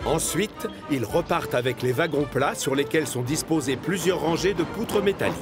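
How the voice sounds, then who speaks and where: A man narrating a children's cartoon as an expressive storyteller. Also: a little high, moderate pace, over loud music.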